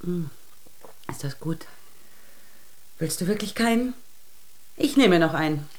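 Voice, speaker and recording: A woman's voice: lowish voice, animated, slow pace, slight background noise. An actress playing an anxious, insistent middle-aged mother and wife in a naturalistic radio drama. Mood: pleading. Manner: worried, insistent, probing.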